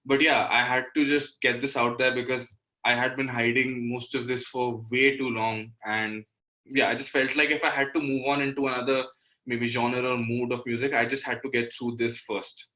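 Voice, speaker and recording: A man's voice: mid-range voice, flat delivery, moderate pace, pushed and bright, low-quality recording. A young man in his twenties with an Indian accent, explaining things reflectively in casual interview talk as a singer-songwriter guest, often saying "you know". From Delhi, India.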